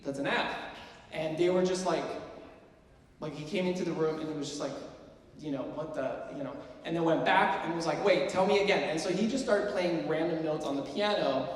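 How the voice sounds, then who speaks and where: A man in his forties from Sweden, presenting a workshop to music students in a chatty, self-deprecating way with plenty of fillers. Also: on the high side. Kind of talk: casual lecture to students. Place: lecture hall. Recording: roomy hall, mic far off.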